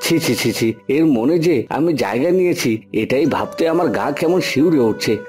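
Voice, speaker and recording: A man voices a vain young villager with a medium-pitched voice. He talks with boastful disdain in fairy-tale cartoon style, and music plays clearly behind him. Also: lively intonation, normal speed, darkish tone, close to an everyday voice. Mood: disgusted.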